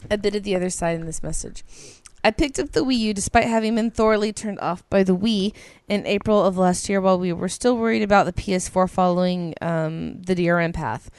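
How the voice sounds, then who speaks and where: Casting note: woman co-host, medium pitch, chatty and excitable, swears, reads email on a studio mic. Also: brisk, hardly any pauses, clear, resonant tone, no room sound. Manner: gushing, swears freely, many ums. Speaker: podcast co-host. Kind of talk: casual chat, reading listener emails aloud.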